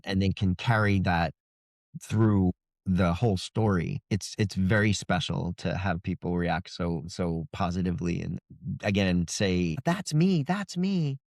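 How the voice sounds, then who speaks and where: A man's voice: deep voice, measured pace, vocal fry, no echo, studio quality. A man speaking as an author guest in a casual interview, earnest and reflective. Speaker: guest, indie fantasy author.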